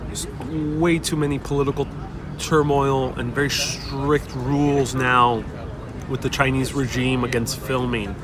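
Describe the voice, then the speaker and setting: A man's voice: mid-range voice, flat delivery, measured pace. A young man whose first language is Spanish, hosting a casual livestream and reading viewer questions aloud as he chats outdoors. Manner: reads viewer questions aloud and rambles warmly. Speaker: livestream host. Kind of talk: casual live-stream chat. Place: outdoors on a busy city street. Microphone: wireless clip-on mic. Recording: outdoor street with clear crowd noise. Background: street crowd and passing traffic.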